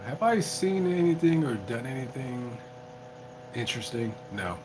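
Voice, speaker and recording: A tipsy man live-streaming as a YouTuber, chatting casually in a rambling, halting way full of restarts. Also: medium pitch, very animated, noisy background. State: tipsy from drinking. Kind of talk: casual livestream chat.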